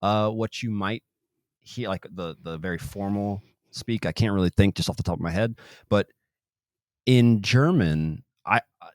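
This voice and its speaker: Deep-voiced man rambling as podcast host. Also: unhurried, a little mellow, no echo, clean studio sound. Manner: rambling, many restarts and trailing-off phrases. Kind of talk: casual interview chat.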